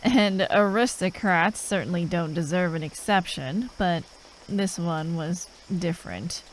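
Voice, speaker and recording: Sardonic female fantasy assassin woman actor, gruff and unhurried, addressing the listener in ASMR roleplay, faint cricket ambience behind. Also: fairly low pitch, a little hiss or hum, natural voice, low-quality recording. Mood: wry, reflective. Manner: sarcastic, drawling, sweary, with sighs.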